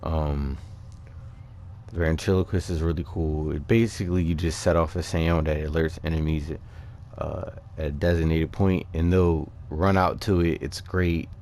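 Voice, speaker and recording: A man's voice: very deep voice, fairly even intonation, a little slow, darkish tone. A man hosting a gaming video, talking through a character build in an informal, conversational and somewhat hesitant way. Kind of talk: casual game build commentary. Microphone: voice-over on a microphone over game capture.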